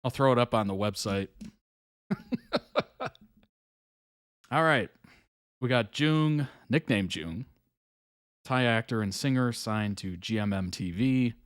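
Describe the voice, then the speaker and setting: A man's voice: mid-range voice, slow, long pauses, clear, resonant tone, dry recording, studio quality. A man hosting a live reaction stream, talking casually and chuckling often between comments. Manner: laid-back, chuckles often, trails off with fillers. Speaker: reaction video host.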